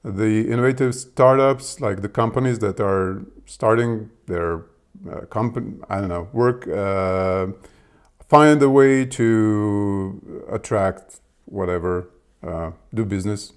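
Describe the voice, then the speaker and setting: A man's voice: on the low side, takes it slowly, smooth. A man with a Serbian accent whose first language is Serbian, talking casually and hesitantly with many fillers. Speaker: video host testing an AI assistant. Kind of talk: casual chat.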